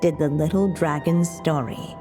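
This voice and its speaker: A woman voicing a solemn, tender storybook narrator and witch mother in a video game, measured and literary in delivery. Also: sits low, dark tone, close to an everyday voice. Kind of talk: scripted fairy-tale narration in a video game. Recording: long reverberant hall echo. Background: background music.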